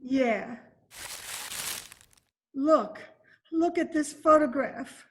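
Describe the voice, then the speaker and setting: A woman's voice: high voice, extremely slow, a little hiss or hum. A woman playing a faded, despairing former Broadway dancer and mother from Spain in an amateur radio drama, speaking with bitter drama. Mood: confiding, subdued. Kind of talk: amateur old-time radio drama acting. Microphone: video call recording.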